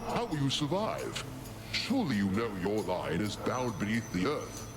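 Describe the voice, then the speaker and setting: A man's voice: on the low side, huge pitch swings, normal speed, over music. A man playing a stern, paternal god in a video game, declaiming and scolding. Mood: stern, concerned. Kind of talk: theatrical video game dialogue.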